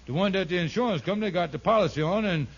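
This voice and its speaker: A man playing a scheming, smooth-talking but bumbling con man in a 1950s radio comedy, stammering and folksy with mangled grammar. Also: high voice, very fast. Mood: glib storytelling. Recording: noisy, muffled 1950s radio recording.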